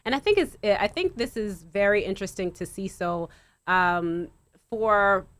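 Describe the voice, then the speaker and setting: A woman guest on a panel talk, measured and explaining political procedure step by step. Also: medium pitch, animated, fairly bright tone. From United States. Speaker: guest activist.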